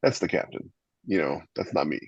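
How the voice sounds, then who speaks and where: A man's voice: a bass voice, sing-song, a little fast, husky, dry recording, highs cut off. A man talking casually and emphatically on a podcast as an opinionated host who gives advice.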